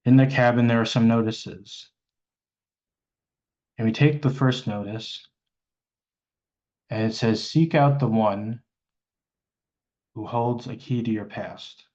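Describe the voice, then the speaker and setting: A man leading an improvised guided meditation, speaking hesitantly and pausing mid-sentence. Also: medium pitch, darkish tone, muffled recording.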